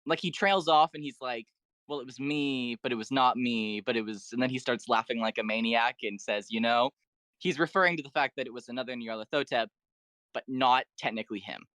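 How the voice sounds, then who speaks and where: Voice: medium pitch, fairly quick, a bit bright, no room sound. A podcast guest talking through fan theories in an enthusiastic, explanatory way and in detail. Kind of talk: enthusiastic fan lore discussion.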